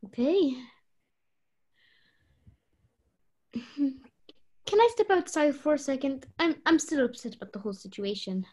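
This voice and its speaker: A woman's voice: sits high, very animated, moderate pace, a little mellow. A young woman playing an upset, hesitant, defensive party guest in an amateur radio play. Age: young adult.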